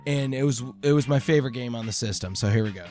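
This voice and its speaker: A man's voice: medium pitch, quick pace, a little mellow. A man hosting a podcast quiz in a casual, chatty, teasing way, running the game as he banters. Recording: video game music playing under voice. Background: video game music.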